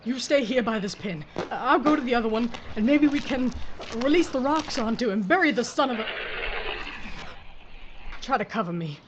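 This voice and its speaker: A woman's voice: fairly high pitch, expressive, background noise, natural voice. A woman playing a tough frontier sheriff. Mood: determined. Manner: brisk and commanding, orders in short lines. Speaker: narrator. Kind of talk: audio drama acting.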